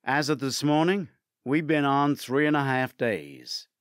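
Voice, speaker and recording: A man voicing a brisk, matter-of-fact male investigator with a wry streak in an audiobook. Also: medium pitch, sing-song, no room sound, studio quality. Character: brisk, teasing male investigator colleague delivering bad news.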